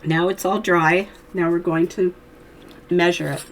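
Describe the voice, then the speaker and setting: A woman with a hoarse voice from a cold, teaching knitting in a chatty, friendly how-to tutorial, with little laughs. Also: fairly low pitch, flat delivery, unhurried, a little hiss or hum, low-quality recording.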